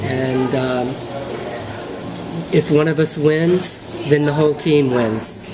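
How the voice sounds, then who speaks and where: A man in his fifties speaks as a political candidate on a conference call. His delivery is halting and measured, with very little movement in pitch. A clear layer of steady noise sits behind him.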